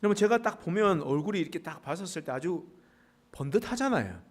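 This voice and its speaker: A middle-aged man from the Pohang area, a pastor preaching a sermon with traces of a Gyeongsang dialect, folksy and joking with the congregation. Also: fast. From South Korea, Pohang area. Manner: folksy, joking asides with congregation, animated pitch swings. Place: church sanctuary.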